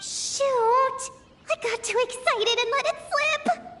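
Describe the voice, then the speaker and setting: A woman's voice: very high voice, slow, very noisy. A woman playing a proud, studious young girl in an anime-style video game, earnest and wordy, easily flustered. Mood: panicked. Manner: earnest, wordy, precise phrasing.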